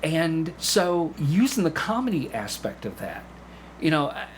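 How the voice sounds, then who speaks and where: A middle-aged man telling stories casually as a podcast guest, animated and given to acting out the remarks he quotes. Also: fairly high pitch, unhurried, a little hiss or hum. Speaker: podcast guest, amateur stage actor. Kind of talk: casual interview storytelling.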